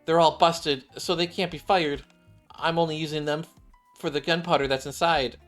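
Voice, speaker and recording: A man's voice: on the high side, fairly even intonation, normal speed, fairly bright tone, close to an everyday voice. A man voicing an earnest, plucky young female android survival expert, eager and determined, in a game read-along. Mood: proud. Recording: game music behind the voice.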